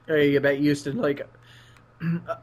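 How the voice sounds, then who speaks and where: Guy w kinda higher voice gaming co-op, casual crude chatter to his buddy. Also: level tone, unhurried, a little mellow. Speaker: video game player. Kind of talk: casual co-op gameplay chat.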